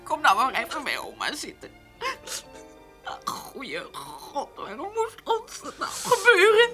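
A woman's voice: high voice, huge pitch swings, measured pace, sharp tone, faint music behind, heavily acted. An actress playing a tender old grandmother in a radio drama, distraught with grief. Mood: grieving, consoling. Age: older.

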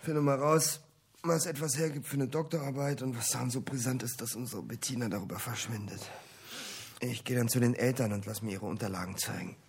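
A man's voice: medium pitch, fairly even intonation, fairly quick, gravelly, lightly acted. An actor playing a businesslike male investigator boss in a radio drama, giving matter-of-fact instructions. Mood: businesslike, strained.

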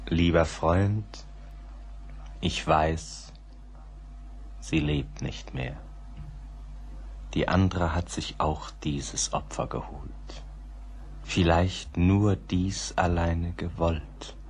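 An actor in a German radio play, playing a haunted, melancholy poet in a brooding, halting, intimate monologue. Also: male voice, deep voice, fairly even intonation, slight background noise, heavily acted. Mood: mournful. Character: melancholy, haunted poet musing to someone lost.

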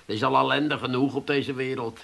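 A man's voice: medium pitch, fairly even intonation, fairly quick, creaky, over loud music, natural voice. An actor playing a hot-tempered, grumbling working-class Amsterdam man in a comic radio drama, blunt and indignant. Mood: grumpy.

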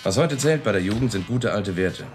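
Low male voice, actor playing slick marketing consultant, self-assured sloganeering, radio drama, music underneath. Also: expressive, brisk, lightly acted. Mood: selling hard. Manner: salesman's pitch in clipped slogans with a pet filler phrase.

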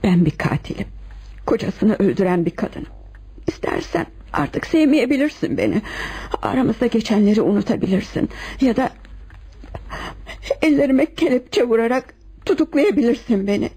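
Husky, mellow-voiced confessing murderess. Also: female voice, a little high, big pitch swings, full character voice. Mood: pleading, devoted. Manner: dramatic, measured confessional storytelling. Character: confessing murderess wife, composed, lovelorn, resigned. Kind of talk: radio theater acting in Turkish drama.

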